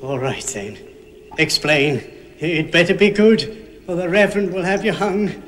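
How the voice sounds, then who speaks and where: Stern pious caretaker, high voice, scolding, film. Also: male voice, a little slow, a bit bright. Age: older. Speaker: church caretaker.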